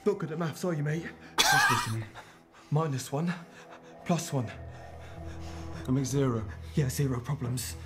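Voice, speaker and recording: A man playing a hardened, threatening crook, curt and gruff. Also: on the high side, huge pitch swings, normal speed, pauses often. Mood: tense. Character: hardened male London crook, blunt and threatening. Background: film music.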